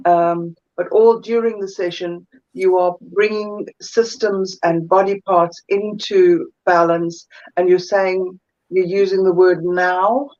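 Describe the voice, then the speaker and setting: A woman with a South African accent, a guest energy healer chatting thoughtfully in an interview and often pausing to find her words. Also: fairly low pitch, steady tone, a little mellow, lo-fi sound. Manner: thoughtful, searches for words, many fillers. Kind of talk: casual interview chat.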